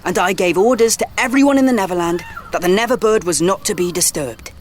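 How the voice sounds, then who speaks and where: An actor playing a confident, boastful boy leader, telling tales briskly in an audio drama. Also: medium pitch, slight background noise, lightly acted. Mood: storytelling.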